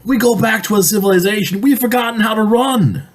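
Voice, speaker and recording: A man playing a blustering, bossy company boss in a radio drama, excitable and sputtering. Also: high-pitched, fairly quick. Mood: dismissive, blustering. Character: blustering, excitable male company boss, in denial then panicking.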